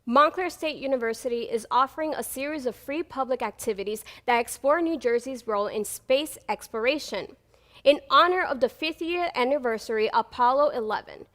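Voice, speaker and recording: A young woman anchoring a student newscast in a bright, upbeat scripted delivery. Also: high-pitched, fairly quick, long reverb tail. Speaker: news anchor.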